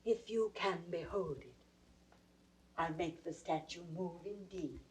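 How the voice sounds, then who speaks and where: A woman's voice: on the low side, natural voice, low-quality recording. A British woman acting a commanding, solemn noblewoman in classical Shakespearean audio drama, stately and incantatory. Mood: urgent warning. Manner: stately, incantatory, deliberate phrasing.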